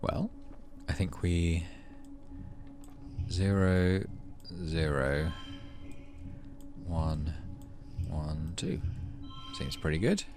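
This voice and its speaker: A man hosting a gaming video, reading story text aloud and adding low-key, muttered asides in casual commentary. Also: extremely slow, long pauses, a little mellow, soft background music. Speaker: gaming channel host.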